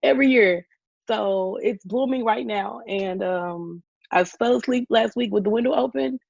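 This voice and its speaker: A woman co-hosting a casual podcast over a video call, hoarse from losing her voice and talking loudly to be heard, with frequent ums. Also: a little high, moderate pace, no echo. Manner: talks loudly to push past a weak voice, with frequent ums. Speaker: podcast co-host. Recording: dull lo-fi video call audio.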